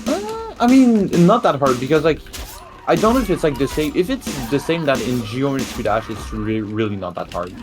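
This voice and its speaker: A French-speaking man from Quebec with a Québécois accent, chatting casually about games in a halting way, full of fillers and restarts. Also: medium pitch, very animated, music in the background. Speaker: speedrun verifier. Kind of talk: casual gaming chat.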